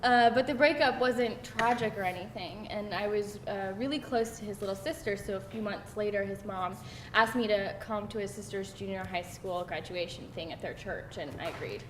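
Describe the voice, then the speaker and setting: A woman's voice: medium pitch, moderate pace, close to an everyday voice. Candid young woman, played by an actress, echoey room. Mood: wry, candid. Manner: animated, hesitant storytelling peppered with laughter. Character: candid, wry young woman telling embarrassing dating stories. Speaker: actor at a screenplay table read. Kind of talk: conversational live script reading. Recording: echoey room with faint noise.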